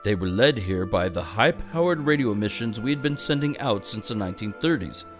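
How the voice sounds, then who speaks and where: A man reading scripted mock-storybook narration with deadpan comic delivery. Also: fairly low pitch, fairly quick, soft background music.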